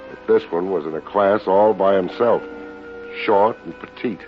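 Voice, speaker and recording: An actor playing a wisecracking, unflappable private investigator in an American radio drama, dry and sardonic, with an American accent. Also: male voice, low-pitched, normal speed, lightly acted. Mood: wry narration. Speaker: lead actor narrating and playing a detective. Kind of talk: 1950s American radio detective acting. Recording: dull old radio recording with music.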